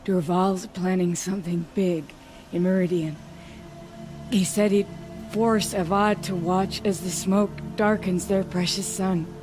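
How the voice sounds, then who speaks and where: An actress playing a tough, blunt warrior big sister in a video game, speaking weakly and haltingly as she dies. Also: fairly low pitch, fairly even intonation, over music, lightly acted. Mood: strained, urgent. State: weak, coughing, labored breath.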